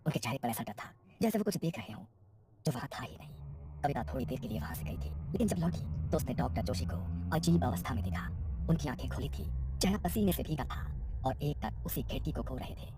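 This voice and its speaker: A woman's voice: extremely low pitch, big pitch swings, a little fast, music in the background. A woman narrating a scripted horror story as its storyteller.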